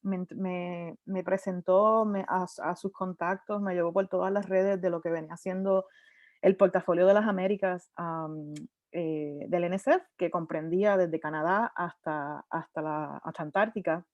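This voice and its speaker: Woman in her 30s, animated panelist, mixes in English words, dull and dry call audio. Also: lowish voice, normal speed, no room sound. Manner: lively, mixes in English terms, frequent restarts. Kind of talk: conversational storytelling on a virtual panel. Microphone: video call.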